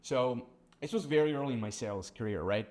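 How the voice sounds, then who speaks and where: A man's voice: medium pitch, brisk. A young man from Serbia with a Serbian accent, telling sales stories in a casual business chat, peppering his talk with "bro" and "like". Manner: animated storytelling, says "bro" and "like" a lot. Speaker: sales agency co-founder.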